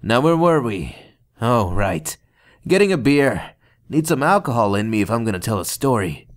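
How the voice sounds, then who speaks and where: A man's voice: medium pitch, sing-song, moderate pace, close to an everyday voice. A man voicing a cocky, sarcastic demon hunter and storyteller in an abridged anime parody. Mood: casual, wry. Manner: brash, sarcastic, swaggering. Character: cocky, irritable demon hunter, half demon, laid-back storyteller.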